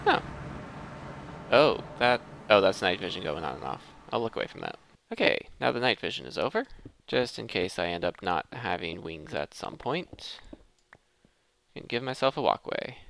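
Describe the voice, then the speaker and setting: A man's voice: lowish voice, very animated, normal speed, creaky, a bit bright, a little hiss or hum. A man giving casual, conversational gameplay commentary as a gaming host, thinking aloud as he plays.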